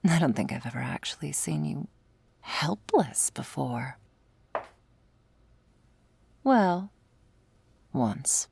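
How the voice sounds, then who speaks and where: A woman playing a smug, predatory female vampire in an ASMR roleplay, flirtatious and taunting, with teasing, drawling, breathy delivery. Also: a bass voice, sing-song, slow, long pauses, darkish tone, heavily acted. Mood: mocking, amused.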